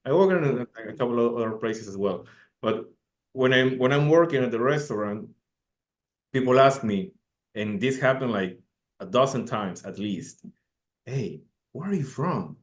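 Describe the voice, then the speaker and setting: Man, medium pitch, interviewing casually. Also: moderate pace. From Peru. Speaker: music interviewer.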